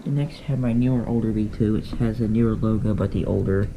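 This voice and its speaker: A man's voice: fairly low pitch, steady tone, fast talker. A man giving a casual, unscripted show-and-tell as a hobbyist, rambling offhandedly and often correcting himself. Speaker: hobbyist showing a radio collection. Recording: noisy handheld camera audio with clicks.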